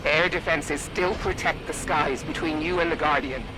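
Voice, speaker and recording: Man as higher-voiced, formal military pilot. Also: steady tone, moderate pace, music in the background, close to an everyday voice, low-quality recording. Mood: businesslike. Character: dutiful military pilot reporting over comms. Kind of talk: cinematic video game acting.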